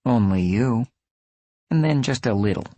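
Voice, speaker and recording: A man voicing a playful, flirtatious woman zombie hunter with a breathy Czech accent, in an audiobook. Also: medium pitch, animated, unhurried, warm tone, no echo, voice pushed up. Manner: playful, teasing.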